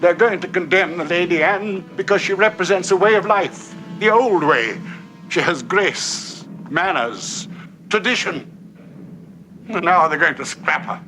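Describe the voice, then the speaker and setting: Indignant elderly gentleman, higher-pitched, sharp forceful delivery, oratorical, vintage TV drama, music behind. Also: unhurried. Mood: wistful indignation. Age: older. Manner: oratorical, declamatory. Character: indignant old man mourning a vanishing genteel world. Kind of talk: dramatic 1960s television acting.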